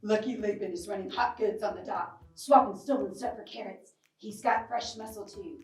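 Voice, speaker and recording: A woman's voice: medium pitch, fairly even intonation, moderate pace, distant mic, close to an everyday voice. A woman voicing a nervous female guard dog in a storybook, jumpy and urgent. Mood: warning. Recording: roomy, off-mic, music behind.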